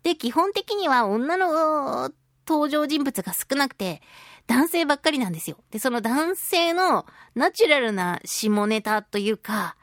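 A woman's voice: on the high side, no room sound, clean studio sound. A woman hosting a radio talk show alone, chatting informally and thinking aloud in a giggly manner. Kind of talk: casual chatty radio monologue.

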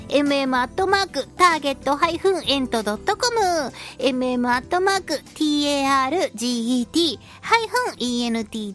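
A woman's voice: high-pitched, a bit bright. A woman hosting a radio talk show, reading announcements and signing off in a cutesy, polite way. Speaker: radio show host and voice actress. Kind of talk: Japanese radio talk show sign-off, announcing contact details.

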